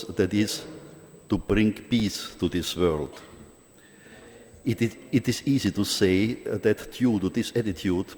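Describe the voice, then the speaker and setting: A man's voice: lowish voice, a little mellow. A man with a heavy Austrian accent giving a formal, earnest conference speech, sometimes stumbling over words. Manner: earnest, halting on some words. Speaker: conference speaker. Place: large conference hall. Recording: long hall reverb.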